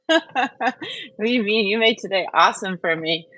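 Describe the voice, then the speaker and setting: A woman's voice: fairly high pitch, big pitch swings, slow pace, a bit bright, dry recording. A woman hosting a show in a casual, conversational chat style. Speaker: show host.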